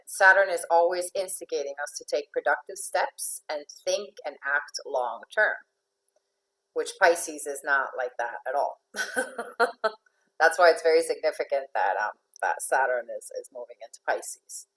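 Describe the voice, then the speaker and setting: Low-voiced chatty woman coach. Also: a bit bright, rough recording. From Finland, raised in Venezuela. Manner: chatty with many fillers and restarts, laughs often. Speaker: astrological life coach. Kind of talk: informal talk to an online audience.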